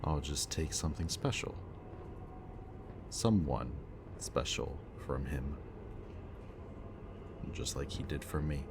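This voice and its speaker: A man playing a bitter, remorseful villain in an audio roleplay, confessing in a low, intimate voice that sometimes drops to a whisper. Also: slow, gravelly, long pauses, exaggerated voice. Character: remorseful bitter male villain, young adult. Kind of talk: audio roleplay addressed to the listener. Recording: steady ambient background noise.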